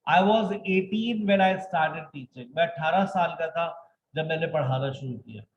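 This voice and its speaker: A man in his thirties teaching an online English grammar lesson. He moves between quick explanations and slow, dictated example sentences. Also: a little high, dull audio, a little hiss or hum. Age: 30s.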